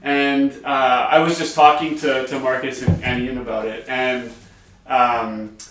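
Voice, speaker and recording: A man's voice: mid-range voice, level tone, measured pace, fairly bright tone, slight background noise. A young man co-hosting a podcast, telling a story casually with lots of "like.". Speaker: podcast co-host. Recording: echoey room, mic at a distance.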